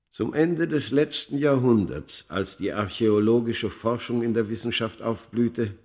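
A male actor playing a tormented, world-weary immortal from ancient Egypt in a radio play, speaking with grave, deliberate, literary delivery. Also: mid-range voice. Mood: intense, brooding. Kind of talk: dramatic first-person radio play narration.

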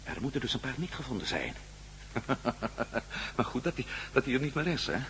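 A man's voice: medium pitch, sing-song, moderate pace, fairly bright tone, a little hiss or hum. An actor playing an inquisitive, level-headed male adventurer in a Dutch radio drama. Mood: pondering.

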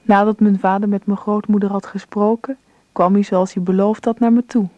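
A woman's voice: mid-range voice, steady tone, moderate pace, dark tone, no echo, dull audio, lightly acted. An actress in a Dutch radio play voicing a composed grown daughter who narrates her childhood memories. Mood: reflective.

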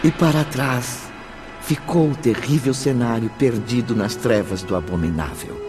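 A man's voice: mid-range voice, measured pace, natural voice. A man as a solemn storyteller, narrating a radio drama in a grave, dramatic, literary way. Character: solemn third-person storyteller. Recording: background music under narration.

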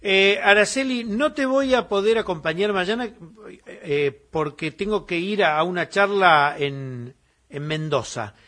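A male morning radio host, speaking in a loose, conversational way. His voice sits fairly high for a man, and he easily trades jokes between announcements. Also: a little slow. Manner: relaxed, chatty, jokes with co-host. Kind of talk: live morning radio hosting.

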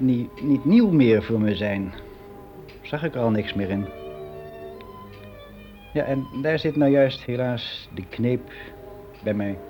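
An actor playing a lonely, timid, regretful office employee of sixty in a radio monologue, speaking in a halting, confiding, colloquial way. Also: male voice, mid-range voice, natural voice. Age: 60s. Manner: halting, confiding, colloquial, with hesitant self-corrections. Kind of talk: conversational radio drama monologue. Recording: dull, lo-fi old radio recording.

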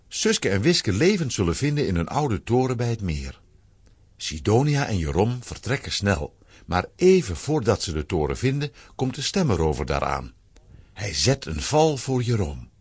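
A male narrator telling a children's adventure tale with a Flemish accent. His lowish, husky voice moves with a wide, expressive range. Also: no room sound. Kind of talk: scripted storytelling narration for a children's audio drama.

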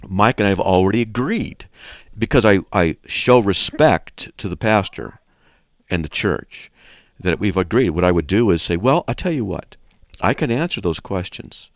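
Deep gravelly man hosting a radio show. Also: expressive, normal speed, no room sound, studio quality. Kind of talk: casual conversational teaching and anecdotes.

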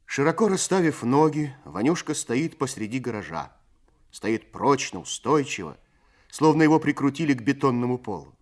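A man narrates a 1970s Soviet radio play with a mid-pitched voice. His delivery is scripted and literary, measured yet expressive, like a storyteller setting out each scene.

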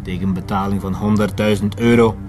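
An actor playing a menacing militant in an audio drama, taunting and deliberate. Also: male voice, lowish voice, steady tone, over music, lightly acted. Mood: angry, accusing. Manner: taunting, deliberate, switching between Dutch and Spanish. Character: menacing Basque militant, interrogating man.

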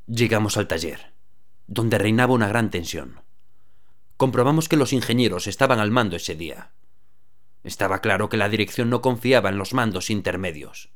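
A man narrating a radio drama in the first person, telling the story in a steady, even way. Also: on the low side, moderate pace.

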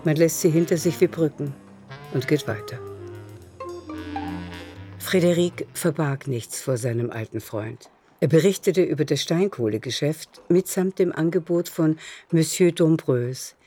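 A woman's voice: deep voice, a little slow, mellow, breathy edge, soft background music. A woman narrating a literary radio drama as a storyteller.

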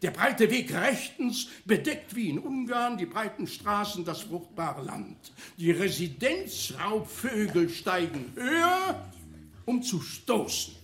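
An actor playing a pompous, outraged and mocking judge in a declamatory radio play style. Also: male voice, high voice, sing-song, takes it slowly, fairly bright tone, exaggerated voice. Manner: declamatory, mocking, with scornful laughs and outbursts. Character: pompous, gloating, outraged male judge of a small-town court. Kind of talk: theatrical German radio play acting.

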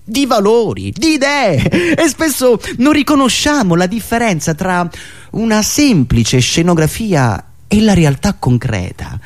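A man delivering a theatrical political monologue in a dramatic, emphatic manner, with deliberate pauses. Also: sits high, sing-song. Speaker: satirical stage performer and radio host.

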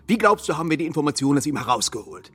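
A hot-headed, snapping terrorist. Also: male voice, fairly high pitch, sing-song, rapid-fire. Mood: scornful. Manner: snapping, aggressive.

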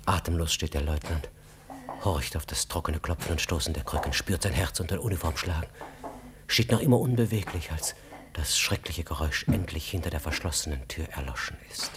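A man narrates a radio drama in a deep register. His delivery is literary, deliberate and sombre, with a faint noise behind the recording.

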